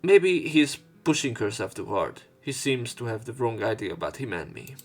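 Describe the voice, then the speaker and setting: A man's voice: medium pitch, very animated, moderate pace, soft background music. A man reading a visual novel aloud as a let's play host, adding informal, sweary commentary.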